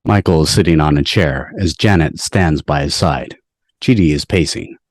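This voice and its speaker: A man's voice: rumbling low, normal speed. A man reading stage directions as scripted narration for an amateur table read.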